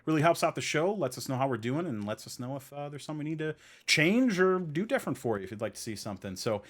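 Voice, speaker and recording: A man's voice: a little high, big pitch swings, fast. A man hosting a podcast in a casual, conversational style, stressing key points by repeating them. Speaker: podcast host.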